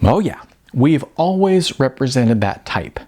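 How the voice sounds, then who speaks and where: A man's voice: mid-range voice, very animated, a little slow, dark tone. A man voicing a brash, chatty middle-aged female talent agent, breezy, blunt and flirty, in an audiobook. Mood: chatty, animated. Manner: breezy, wisecracking, emphatic.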